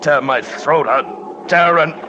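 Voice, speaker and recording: An actor playing a grim, shaken mountaineer telling of a hunt in an intense, halting old radio drama style. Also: male voice, a little high, lo-fi sound, background noise. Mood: frantic, terrified. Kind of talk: 1950s radio drama first-person narration. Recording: vintage radio recording, loud orchestral music behind.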